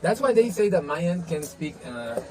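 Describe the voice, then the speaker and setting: A man's voice: a little high, very animated, moderate pace, a crowd in the background, poor audio. A man whose first language is Spanish, answering interview questions in an earnest, casual way, with many restarts and "you know".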